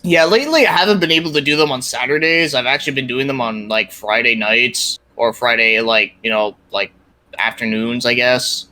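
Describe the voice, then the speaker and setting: Bright-voiced man chatting casually. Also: mid-range voice, moderate pace. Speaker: podcast guest, video game reviewer. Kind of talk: casual conversation about video games.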